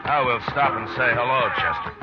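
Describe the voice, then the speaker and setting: An American actor playing a steady, weary frontier lawman in a radio western, measured and plain-spoken. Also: mid-range voice, natural voice. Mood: watchful. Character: steady, weary frontier lawman, adult man, fair-minded. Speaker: actor and first-person narrator. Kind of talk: 1950s radio western acting. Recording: dull old radio recording, music behind.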